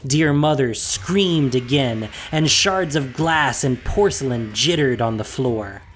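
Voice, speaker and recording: A narrator reading a fantasy story in a measured, expressive storytelling voice. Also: mid-range voice, soft background music.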